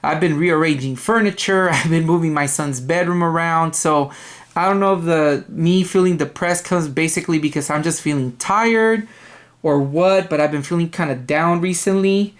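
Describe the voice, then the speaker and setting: A young man with a loud voice, rambling casually in a video game haul vlog, full of ums and restarts. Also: fairly high pitch, moderate pace. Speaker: video game collector vlogger. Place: apartment dining room at night.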